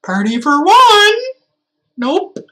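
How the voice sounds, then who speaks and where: A woman's voice: high-pitched, big pitch swings, slow pace, bright, forceful tone. A woman streaming a game with casual, teasing, irreverent commentary, chatting as she plays.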